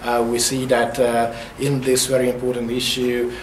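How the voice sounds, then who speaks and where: A Hungarian man speaking English with a Hungarian accent, giving opening remarks at a workshop, pausing often on fillers. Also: medium pitch, completely flat delivery, echoey room, a little hiss or hum, rough recording. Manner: hesitant, many filler pauses.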